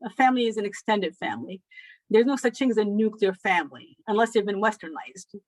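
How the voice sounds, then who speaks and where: A woman from Afghanistan giving an educational presentation as a lecturer, measured and pausing often with ums. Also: mid-range voice, lively intonation, no room sound, rough recording. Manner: measured, with frequent ums and pauses.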